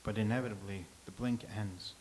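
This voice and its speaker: A man's voice: on the low side, steady tone, fairly quick, darkish tone, close to an everyday voice, low-quality recording. An actor playing a solemn male scribe from ancient times in a staged play reading, speaking earnestly and philosophically, with faint hiss.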